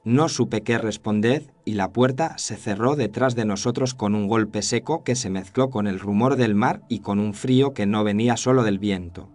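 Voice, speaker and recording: A man's voice: fairly low pitch, normal speed, few pauses, darkish tone. A man reading a scripted first-person horror story as its narrator. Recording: clear noise and ambience behind voice.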